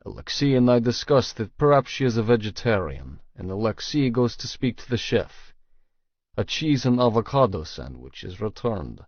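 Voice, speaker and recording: Male audiobook narrator, low gravelly voice, measured and serious, first person, recorded dry and a touch muffled. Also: very animated, no echo.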